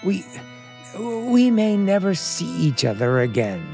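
An actor playing a sinister, coaxing bridegroom in an old-time suspense radio drama, with a folksy, drawling, insistent manner. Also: male voice, high voice, big pitch swings, takes it slowly, gravelly, mellow, faint music behind, full character voice. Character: sinister, coaxing New England bridegroom with secrets.

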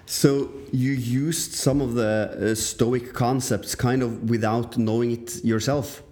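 Medium-pitched man hosting a casual interview. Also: steady tone, slow, darkish tone. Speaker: podcast host.

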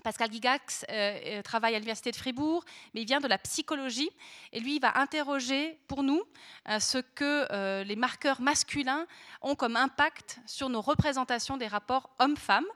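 A woman's voice: high-pitched, normal speed, a bit bright. A woman hosting an event, making semi-formal announcements and sometimes searching for her words. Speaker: event host introducing a debate.

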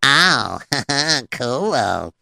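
A man's voice: mid-range voice, sing-song, drawn-out words, creaky, sharp tone, no echo, studio quality, full character voice. A man playing a scatterbrained, stammering comic sidekick who keeps interrupting and mishearing words. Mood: goofy. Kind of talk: comedic children's story show.

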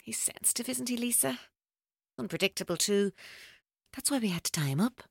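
An actress playing a controlling, taunting female captor in an audio drama, speaking in a deliberate, coaxing way. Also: a little high, expressive, creaky, husky, no room sound, studio quality, heavily acted. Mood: mocking, airy. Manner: deliberate, coaxing, coldly mocking. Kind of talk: audio drama acting, monologue-like.

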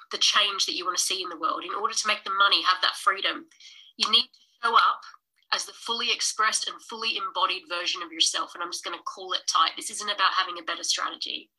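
A woman's voice: mid-range voice, brisk, bright, forceful tone, slightly rough, poor audio. A woman hosting a business podcast live stream, talking casually and enthusiastically to her viewers, a little nasal and snuffly from a cold. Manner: enthusiastic, chatty, addresses viewers as guys. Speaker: podcast host and business coach.

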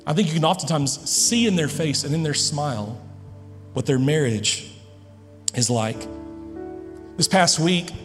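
Male pastor, earnest emphatic sermon delivery, big reverberant church hall. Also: medium pitch. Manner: earnest, emphatic preaching with dramatic pauses.

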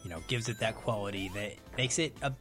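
A man's voice: on the low side, brisk, over music. A middle-aged man speaking as a podcast guest in a casual discussion about films.

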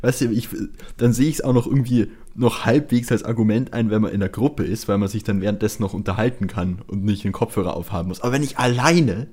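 A man's voice: on the low side, fast talker, few pauses, darkish tone, slightly rough. A young man chatting as a podcast co-host, telling stories with English slang and swearing mixed in. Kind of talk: casual chat, anecdotes.

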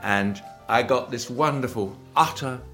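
A man telling a slow, relishing anecdote in an interview. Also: medium pitch, pushed and bright, soft background music.

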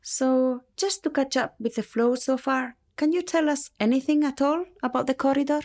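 A woman's voice: high-pitched, normal speed, a little mellow. A woman playing a playful, whimsical figure in a radio play, with theatrical delivery.

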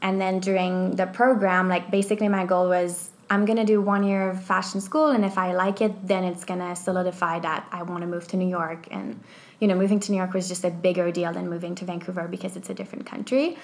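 A woman's voice: lowish voice, level tone, fairly quick. French-accented woman telling her story. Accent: Québécois French. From Quebec, Canada. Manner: chatty, many "like" fillers, storytelling. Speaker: clothing designer, guest. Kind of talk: conversational interview answers.

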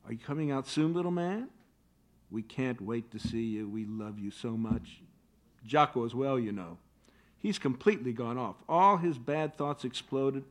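A man voices a high-strung, doting wife and mother with a fairly high voice that leaps up and down. She pleads and frets in a staged play reading. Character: fretful doting wife and mother, adult woman.

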